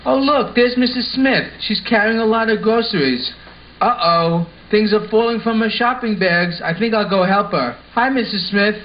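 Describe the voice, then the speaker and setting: A man's voice: high-pitched, moderate pace, lightly acted. A man voicing a polite, helpful boy with an earnest, simple way of explaining, in an amateur puppet show. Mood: excited, urgent. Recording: muffled home recording with hiss.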